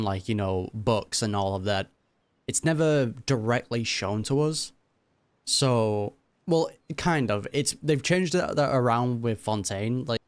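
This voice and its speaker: A man's voice: mid-range voice, clean tone, no room sound. A man giving casual, musing commentary as he reacts to a game, speaking informally. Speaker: gaming reaction YouTuber.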